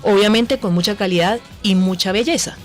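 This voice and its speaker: A Colombian woman speaks as an expert guest in a radio booth. Her pitch is average for a woman, and she explains things in interview style. Faint music plays behind her. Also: fairly quick. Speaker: voice center director, guest.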